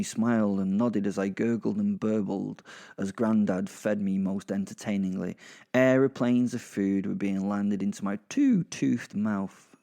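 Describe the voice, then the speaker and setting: A man's voice: fairly low pitch, gravelly, dark tone. A British man voicing a sardonic, vengeful, foul-mouthed werewolf who narrates a horror-comedy audio drama in a rambling, menacing way.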